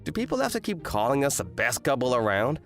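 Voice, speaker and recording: A man's voice: medium pitch, wildly swooping pitch, fast talker, soft background music. A man playing an exasperated eighteen-year-old student in a manga rom-com dub, complaining and narrating with indignant drama. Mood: wry narrating. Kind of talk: manga dub acting and first-person narration.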